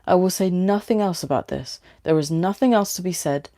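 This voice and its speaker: A woman's voice: fairly low pitch, brisk, dark tone, close to an everyday voice. A woman reading a story aloud as a coolly amused, evasive female driver, with a London British accent. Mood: final, curt. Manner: dismissive, withholding.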